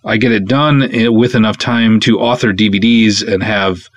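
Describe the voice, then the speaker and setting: Low-voiced man in casual podcast talk. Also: fairly even intonation, normal speed, no echo, highs cut off. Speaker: podcast host and film director.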